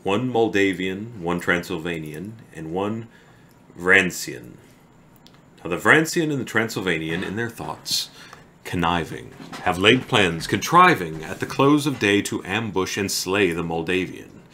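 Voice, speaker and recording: Male streamer, low and bright-edged voice, halting casual chat at a desk microphone. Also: lively intonation, a little hiss or hum. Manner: halting, trails off into quiet mumbles. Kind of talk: slow, deliberate poetry reading.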